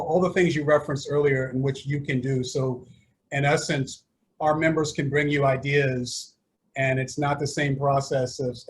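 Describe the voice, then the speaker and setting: A man moderating a panel discussion, asking questions in a halting way with many pauses. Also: mid-range voice, low-quality recording. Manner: halting, many fillers and restarts.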